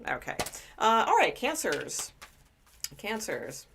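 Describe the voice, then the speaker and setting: A woman with a low speaking voice giving a chatty, rambling tarot reading to live viewers, with lots of fillers and restarts. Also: wildly swooping pitch, takes it slowly, vocal fry, a bit bright, a little hiss or hum. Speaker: tarot reader.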